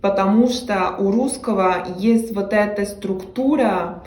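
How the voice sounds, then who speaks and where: A woman's voice: mid-range voice, a little slow, darkish tone, echoey room. A young woman from Mexico with a Mexican Spanish accent, talking casually to camera in a language she learned, hesitating with frequent fillers and restarts.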